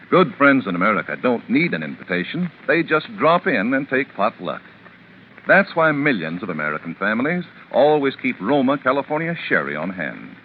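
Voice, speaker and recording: A man announcing a 1940s radio commercial in a polished, emphatic sales style. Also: mid-range voice. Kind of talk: 1940s radio advertisement read. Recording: narrow, faintly noisy old radio recording.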